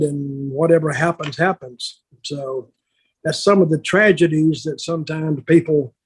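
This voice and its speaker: An older man with a husky voice telling stories casually on a podcast, blunt and plainspoken, halting and repeating words. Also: on the high side, darkish tone. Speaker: podcast co-host, wrestling veteran.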